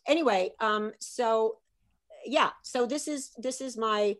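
A middle-aged woman, an author, talking in a lively, chatty way and slipping into bits of Italian. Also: on the high side, slow pace. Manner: animated, chatty, slips into Italian phrases. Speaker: author guest. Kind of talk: casual video chat.

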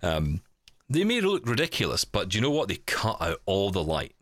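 A man with a very deep voice hosts a casual podcast chat. His pitch swings around in an animated way as he rambles through his stories. The sound is dry and close. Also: moderate pace, dry recording. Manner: chatty, rambling, animated storytelling. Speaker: podcast host and producer.

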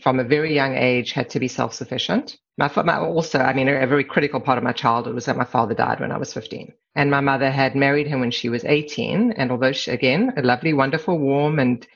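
The recording is a little dull and lo-fi. A middle-aged woman with a South African accent speaks as an interview guest. She is candid and measured as she reflects on personal matters. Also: a bass voice, breathy edge. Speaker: podcast guest, lawyer. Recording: slightly dull, lo-fi sound.

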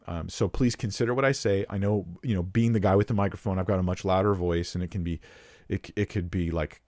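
Man, low voice, earnest casual teaching, emphatic, dry close-mic sound. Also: steady tone, fairly quick, darkish tone, no room sound. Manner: earnest, frequent restarts, emphatic rises. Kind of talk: casual unscripted teaching talk.

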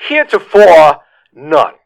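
A man doing a blustering caller who fancies himself an entrepreneur, rambling and pushy. His voice is a bit high, with lots of gravelly fry, and it swoops up and down as he pitches. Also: sing-song, slow pace, exaggerated voice, rough recording. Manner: rambling, pitchy, self-assured. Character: blustering male caller, bumbling self-styled entrepreneur. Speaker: comedy caller. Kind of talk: improvised comedy podcast.

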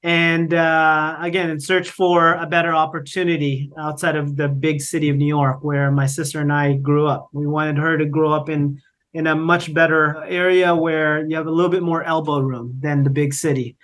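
A man's voice: fairly high pitch, little pitch movement, moderate pace, fairly bright tone. A middle-aged man from the Philippines, explaining his work in an interview with many fillers and restarts. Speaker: guest, company founder. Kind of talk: conversational interview.

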